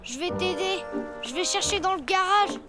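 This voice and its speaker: A woman's voice: very high-pitched, expressive, moderate pace. A woman voicing a timid, eager little boy elephant in a French children's cartoon. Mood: hesitant, timid. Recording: music behind the voice.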